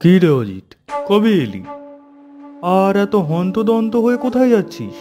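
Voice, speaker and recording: A man doing a high, mellow-toned scoffing village friend. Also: huge pitch swings, normal speed, faint music behind. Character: blunt village friend Biltu, dismissive. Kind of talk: cartoon voice acting.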